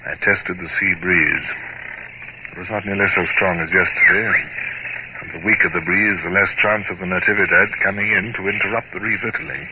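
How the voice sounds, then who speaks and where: An actor playing a proud, reserved naval captain in a 1950s radio drama, speaking formally and with measured control. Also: male voice, deep voice, lightly acted. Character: proud, self-controlled British naval captain, narrating his memoirs. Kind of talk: 1950s radio drama acting with first-person narration. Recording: dull, very noisy old radio recording.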